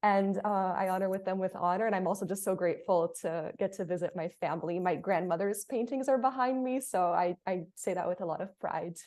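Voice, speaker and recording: A woman's voice: on the low side, steady tone, fairly quick, few pauses, a little mellow. A woman from Brazil speaking as a panelist on a video call, introducing herself and her views. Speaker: panelist, theater artist and editor.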